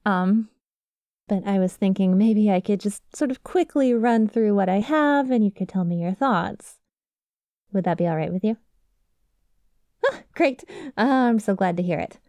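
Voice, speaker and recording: A woman's voice: mid-range voice, unhurried, pauses often, warm tone, dry recording, natural voice. A woman playing a nervous, clingy young best friend with a secret obsession, rambling hesitantly and casually in an ASMR roleplay. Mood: nervous, bashful.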